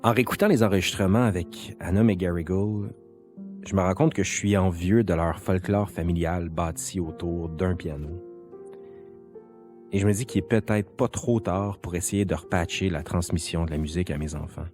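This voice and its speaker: Male narrator, Quebec French accent, low register, intimate scripted first-person storytelling, music bed. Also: moderate pace, a little mellow. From Quebec, Canada. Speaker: narrator and interviewer. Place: sound studio. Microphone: quality studio microphone. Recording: music behind the voice.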